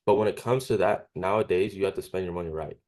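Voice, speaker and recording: A man of about twenty being interviewed, chatting casually and haltingly with frequent tag questions. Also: sits low, a little mellow. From California, United States. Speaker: interviewee, young cleaning business owner. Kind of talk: casual chat.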